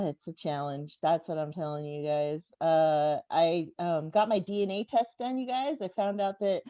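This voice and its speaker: A woman's voice: lowish voice, big pitch swings, a little slow, hardly any pauses, dark tone. A woman in her fifties performing stand-up comedy, talking conversationally to the audience and often chuckling at her own jokes. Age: 50s. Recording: dull, echoey live venue recording.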